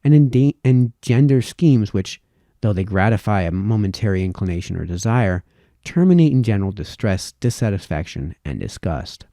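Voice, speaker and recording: A man reading formal historical essays aloud in a measured, deliberate manner, now and then stumbling over a word. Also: on the low side, lively intonation, dark tone, no room sound, studio quality. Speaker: reader, narrator.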